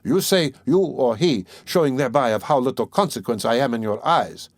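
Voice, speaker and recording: A man's voice: mid-range voice, lively intonation, a little fast, no echo, clean studio sound, voice pushed up, close to an everyday voice. A man reading aloud, playing a pompous, touchy suitor who speaks stiffly and formally. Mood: pompous huffy. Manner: huffy, sententious, stiffly formal. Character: pompous, touchy middle-aged male suitor.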